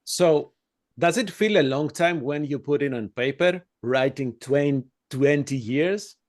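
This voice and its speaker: Man, Greek accent, slightly high voice, interviewer, casual conversational questioning. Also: a little slow, darkish tone. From Greece.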